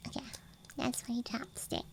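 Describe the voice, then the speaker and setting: A woman doing a whispered ASMR show-and-tell, murmuring softly and breathily to the listener in an unhurried way, as the host. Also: fairly high pitch, wildly swooping pitch, gravelly. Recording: faint background noise, outdoor night ambience. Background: faint rural night ambience sounds.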